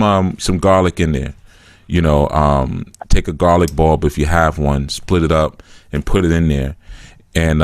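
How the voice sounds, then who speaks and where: A man hosts a call-in show in a very low voice. He talks bluntly and frankly, with no softening. The sound is dry and close, with no room around it. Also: no room sound. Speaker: spiritual call-in show host. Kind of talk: casual call-in radio talk.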